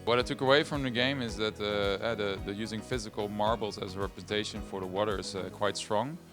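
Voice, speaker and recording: A man who played a game, describing what he took from it in a deliberate, hesitant way. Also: fairly low pitch, fairly even intonation. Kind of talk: interview. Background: background music.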